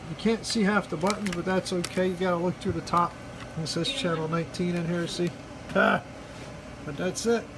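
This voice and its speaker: A man giving a casual, joking product demonstration, talking in folksy slang and repeating himself with mock earnestness. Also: sits high. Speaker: hobbyist video presenter. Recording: noisy recording with steady background hum.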